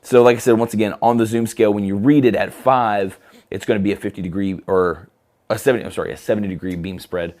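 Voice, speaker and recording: Hoarse, low-voiced man demoing studio gear. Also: quick pace. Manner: relaxed, chatty, with frequent fillers. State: hoarse, scratchy throat. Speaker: company product presenter. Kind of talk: casual live product demo. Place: photo studio.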